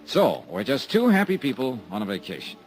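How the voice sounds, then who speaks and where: An actor playing a wisecracking insurance investigator in a 1950s radio drama, talking dry and laconic. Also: male voice, mid-range voice, huge pitch swings, a little fast. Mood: flirting. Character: wry tough male private insurance investigator. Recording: old radio recording with music.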